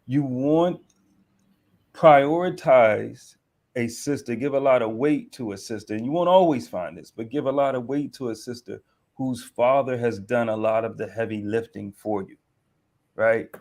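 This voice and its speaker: A man's voice: medium pitch, moderate pace, darkish tone. A married man hosting a casual discussion, giving earnest advice to other men. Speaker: host, coach.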